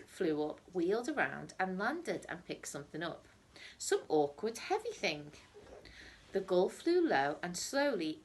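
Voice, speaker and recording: Slow, very animated woman reading a storybook. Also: fairly low pitch, husky. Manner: dramatic, deliberate storytelling with emphatic repetition and hushed phrases. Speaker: teacher reading a story aloud to pupils. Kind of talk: expressive children's storybook reading.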